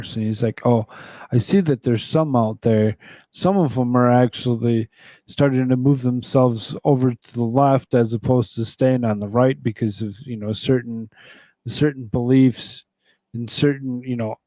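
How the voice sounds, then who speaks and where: A man's voice: medium pitch, fairly even intonation, normal speed, dark tone, no room sound. A man hosting a political talk show, reading news items aloud and commenting on them in a rambling, conversational way.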